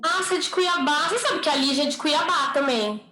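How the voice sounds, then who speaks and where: A woman's voice: sits high, animated, a little fast. A woman speech therapist hosting an informal online study group, welcoming people in a chatty way and often asking "né?". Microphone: computer or phone on a video call. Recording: roomy echo, faint noise.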